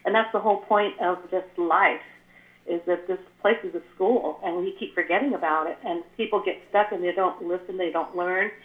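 An older woman from California, a spiritual guest talking earnestly in flowing explanations, her voice a little scratchy after the flu. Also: lowish voice. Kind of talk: casual chat. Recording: tinny phone-like line, echoey room.

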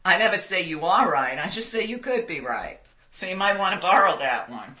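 A woman in her sixties with a loud voice, telling stories animatedly in an interview and laughing often. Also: lowish voice, animated, moderate pace. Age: 60s. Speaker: guest. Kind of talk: conversational interview.

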